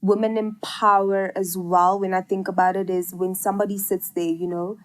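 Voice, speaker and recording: A young woman co-hosting a casual podcast, talking with a cold, chatty and filling pauses with lots of ums and likes. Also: medium pitch, flat delivery. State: has a cold. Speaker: co-host.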